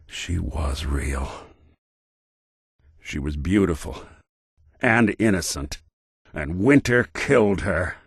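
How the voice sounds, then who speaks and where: An actor playing a world-weary American robot detective in a video game, with a wry, hesitant, hard-boiled noir delivery. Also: male voice, deep voice, gravelly, slightly rough, dry recording, clean studio sound, full character voice. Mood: grim, pained. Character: world-weary synth detective, a machine with an old-time cop's personality.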